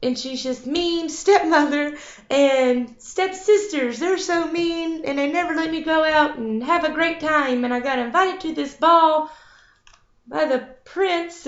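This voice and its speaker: A woman's voice: high voice, pitched above the performer's own voice. A woman voicing a self-pitying young maiden who whines and sobs over her hard life, in an echoey room. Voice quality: whiny, weepy. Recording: echoey, slightly dull recording.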